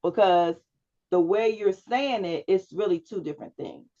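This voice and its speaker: A woman's voice: mid-range voice, normal speed, dark tone, lo-fi sound. Woman coaching a student over video call. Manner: coaching, checks understanding with frequent "right?". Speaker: public speaking instructor. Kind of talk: one-on-one feedback on a video call.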